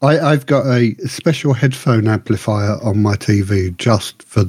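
A man's voice: on the low side, measured pace, darkish tone, slightly rough. A man chatting casually as a co-host on a podcast.

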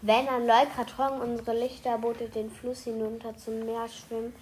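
A curious, enthusiastic girl, played by a woman in a children's audio play. Her voice is high and her reading is careful and explanatory. Faint noise and clicks sit in the recording. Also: little pitch movement, moderate pace, a little mellow, natural voice.

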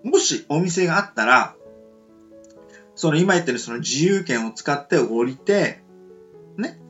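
A man's voice: on the high side, expressive, unhurried, a bit bright, muffled recording, soft background music. A man co-hosting a casual radio chat, rambling with many fillers. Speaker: radio show co-host.

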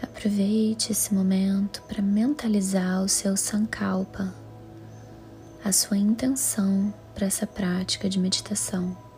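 Female Brazilian meditation guide. Soft, breathy, even delivery with very little pitch movement, soothing and measured, music underneath. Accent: Brazilian Portuguese.

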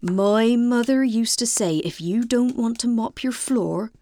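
A woman's voice: on the high side, unhurried, dark tone, a little hiss or hum. An actress playing a homely, simple, stolid woman who offers folk wisdom placidly in an audio comedy drama. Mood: placid, preachy.

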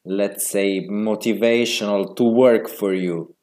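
A man's voice: on the low side, fairly even intonation, slow pace, a little mellow. A man interviewing a guest, asking questions hesitantly with many fillers.

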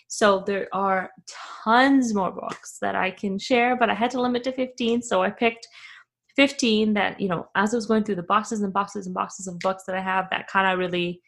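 A woman's voice: medium pitch, gravelly. A woman from Afghanistan hosting her own podcast, talking casually and reflectively with many fillers and restarts. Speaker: podcast host. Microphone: camera video, facing the screen.

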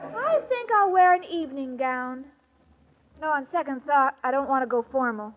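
A woman's voice: sits high, lively intonation, moderate pace. An actress playing a sweet, naive, self-dramatizing young woman in a 1940s radio comedy. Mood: melodramatic self-pity. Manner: naive, earnest, melodramatic. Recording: noisy dull old radio recording, loud audience.

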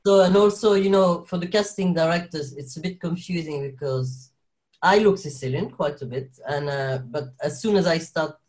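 A man's voice: fairly high pitch, normal speed, darkish tone. French-accented man, chatty and laughing. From Belgium. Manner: rambling, laughs often, many restarts. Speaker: guest actor. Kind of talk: casual interview chat.

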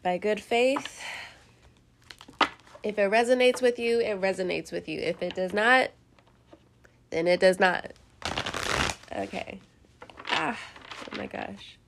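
Chatty congested woman reading tarot, gravelly. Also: mid-range voice, slow pace, long pauses, background noise. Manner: chatty, laughs often, rambling asides, casual cursing. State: head cold, congested. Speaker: tarot reader host. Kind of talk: casual tarot reading monologue.

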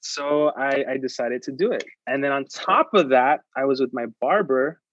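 A man's voice: medium pitch, moderate pace, a bit bright, no room sound, muffled recording. A man whose first language is Spanish, a podcast guest talking earnestly and motivationally, often restarting and repeating words. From United States. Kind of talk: casual interview chat.

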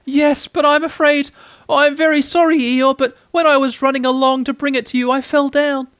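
A man's voice: very high-pitched, little pitch movement, moderate pace, a bit bright, dry recording, voice pushed up. A man voicing a small, timid, anxious piglet in a storybook, earnest and apologetic, with hesitant speech. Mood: anxious, sorry.

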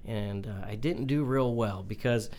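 A man in his forties with a young-sounding voice, answering interview questions in a halting way with many fillers and restarts. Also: fairly low pitch, darkish tone, slight background noise. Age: 40s. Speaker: mortgage company owner.

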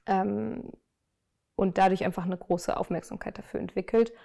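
A woman's voice: medium pitch, steady tone, normal speed, gravelly, warm tone. Thoughtful young woman, interview guest. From Germany. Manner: thoughtful, many fillers, earnest. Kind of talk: reflective conversational interview.

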